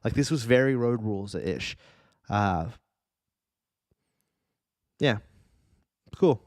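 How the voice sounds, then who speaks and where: A man's voice: on the low side, animated, slow, dry recording, studio quality. A man co-hosting a podcast in casual conversation, speaking informally with some swearing. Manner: profane, informal. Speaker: podcast co-host. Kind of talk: casual chat.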